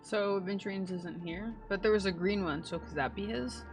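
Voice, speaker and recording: A woman's voice: mid-range voice, fairly quick. A young woman giving casual, slangy reaction commentary as a game streamer, musing aloud. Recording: game music behind the voice.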